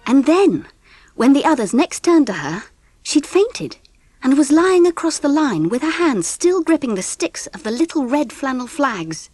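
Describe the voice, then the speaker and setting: A woman playing a gentle English mother, telling the story in an expressive audiobook narration. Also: sits high, unhurried, soft background music. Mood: dramatic.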